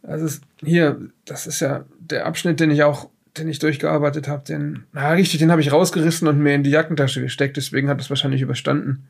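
A man playing an evasive, rambling researcher under questioning in an improvised radio play, speaking haltingly and backtracking. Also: on the high side, little pitch movement, creaky. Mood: flustered. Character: evasive, rambling male researcher being questioned after strange events. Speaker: player in an audio-drama let's play of a solo horror adventure.

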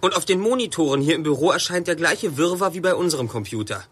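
An actor playing a brisk, commanding young male detective in a German audio drama. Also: a little high, expressive, noisy background, close to an everyday voice. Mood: urgent.

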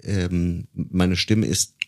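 A man hosting an interview, asking questions in a deliberate, searching, conversational way. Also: deep voice, steady tone, vocal fry, darkish tone. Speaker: interviewer, podcast host.

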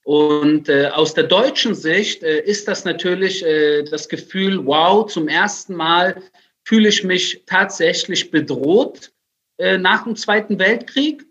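High-pitched man on a dull connection. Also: slow pace, a bit bright. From Germany, Berlin. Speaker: interview guest, writer and military spokesman. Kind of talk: conversational explaining.

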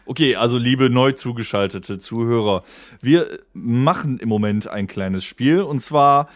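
A man's voice: mid-range voice, measured pace. A man hosting a podcast in casual, joking chat, with a crude, slangy way of talking. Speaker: podcast host.

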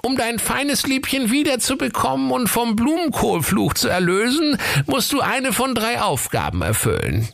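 A man voicing an old, sly, bossy fairytale witch in a falsetto, with a deliberate, sing-song delivery. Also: sing-song, creaky, a bit bright, full character voice. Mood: sly, instructing.

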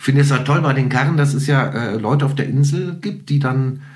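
A man's voice: medium pitch, brisk, darkish tone. A middle-aged man as a podcast guest, telling anecdotes casually and acting out little quotes. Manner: informal, conversational, tells stories with acted-out quotes.

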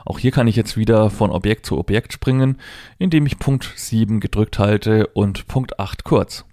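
Male demo presenter, lowish pitch, dry sound, informal thinking-aloud explanations. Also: normal speed, dark tone, no room sound. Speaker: presenter demonstrating assistive technology. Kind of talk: hands-on product demonstration, explanatory.

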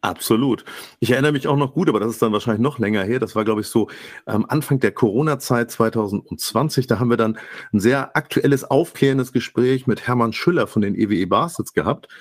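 A man's voice: lowish voice, no echo, studio quality. A man hosting a sports podcast, a little stuffy-nosed, with friendly formal introductions. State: stuffy nose. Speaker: podcast host. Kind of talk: casual podcast talk. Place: home studio, remote call.

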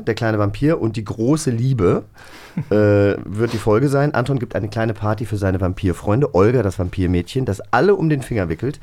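A man's voice: fairly low pitch, warm tone. A man speaking as a podcast host, casually bantering and explaining a game to the others.

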